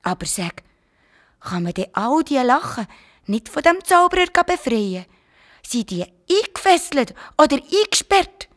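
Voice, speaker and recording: A woman with a Swiss German accent voicing an eager, cheeky little creature that talks in loud, bossy exclamations. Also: sits high, sing-song, a little slow, voice pushed up. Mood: concerned. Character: small eager excitable creature, bold and cheeky. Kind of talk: children's storytelling.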